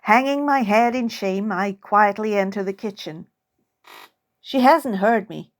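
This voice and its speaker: Expressive woman reading a children's audiobook. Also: medium pitch, measured pace. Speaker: author reading own novel. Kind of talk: first-person audiobook narration in character as a child. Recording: music under the voice.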